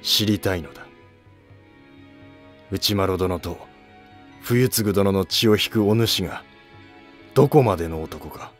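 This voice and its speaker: Man acting grave, low-voiced aging court minister. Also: soft background music. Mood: grave, probing. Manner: grave, deliberate, questioning. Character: aging, probing court minister near the end of life. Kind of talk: historical drama dialogue.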